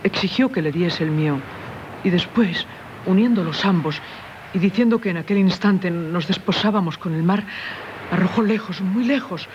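Actress playing a tormented Castilian wife, dull radio sound. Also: female voice, on the low side, normal speed, a little mellow, lightly acted. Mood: haunted, narrating. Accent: Castilian Spanish. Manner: solemn, confessional, theatrical delivery. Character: tormented married woman haunted by a former lover. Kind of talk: 1970s radio theatre acting. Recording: dull, noisy 1970s radio recording.

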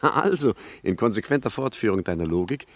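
A man's voice: on the low side, lively intonation, fast talker, no echo. A male actor playing a cocky, scoffing gangster in a comedic radio play, mocking and theatrical in manner. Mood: mocking reassurance. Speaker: actor in a radio crime satire.

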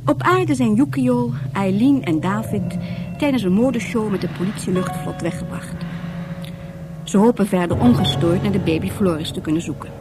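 A woman's voice: medium pitch, normal speed, over music. A woman narrating a scripted science fiction radio drama.

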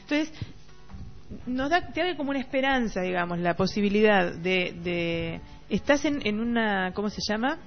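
A woman radio host with a gravelly edge and a Rioplatense Argentine accent. She chats about literature in a halting way, circling back and repeating herself as she hunts for words. The sound is slightly muffled, with faint music underneath. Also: mid-range voice, animated. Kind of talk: casual literary radio chat.

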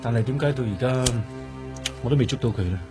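An actor playing a brooding, driven male police detective with a husky voice, speaking Hong Kong Cantonese in a radio drama. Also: medium pitch, quick pace, over music, close to an everyday voice.

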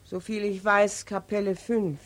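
A woman's voice: medium pitch, level tone, measured pace, close to an everyday voice. An actress playing a callous female tenant, curt, mocking and matter-of-fact, in 1940s radio drama style.

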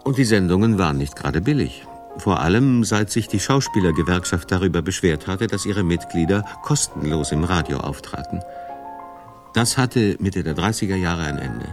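A male narrator delivers a scripted radio feature. His voice is very deep, with music clearly playing behind him. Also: lively intonation, moderate pace. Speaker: documentary narrator.

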